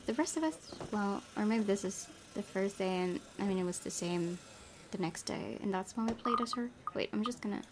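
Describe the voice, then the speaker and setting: A woman's voice: mid-range voice, brisk, background noise. A woman game streamer reading a visual novel aloud with casual commentary. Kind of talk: let's play narration with casual commentary. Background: faint music.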